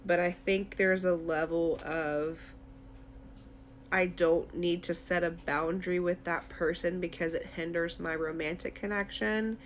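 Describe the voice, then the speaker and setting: Low-voiced woman giving a tarot reading. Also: steady tone, a little slow, slight background noise. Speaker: tarot reader. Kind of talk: intuitive tarot reading addressed to the listener.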